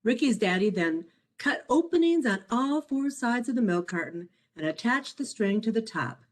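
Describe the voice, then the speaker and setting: Expressive woman reading a children's storybook. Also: on the high side. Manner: expressive, measured read-aloud delivery. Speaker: author reading own children's picture book. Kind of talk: scripted storybook narration for children.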